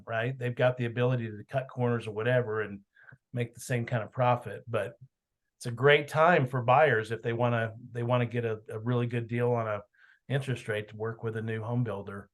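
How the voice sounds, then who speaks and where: A man leading a team video meeting, chatting in a rambling way, telling stories and calling on team members. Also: mid-range voice. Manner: chatty, rambling, quick to praise. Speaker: team leader hosting a meeting. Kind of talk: casual meeting chat. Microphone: video call.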